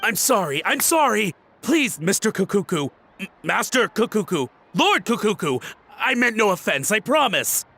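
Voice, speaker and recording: A man's voice: sits high, very animated, moderate pace, sharp tone, breathy edge, full character voice. A man playing a cowardly, shady antiques collector in a video game, pleading and flustered in florid, stammering phrases. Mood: grovelling, panicked.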